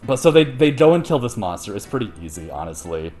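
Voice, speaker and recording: A man's voice: on the low side, sing-song, quick pace, darkish tone, faint music behind. A man hosting a show, retelling a story in casual, slangy, profane and jokey chat. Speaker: host retelling an ancient myth.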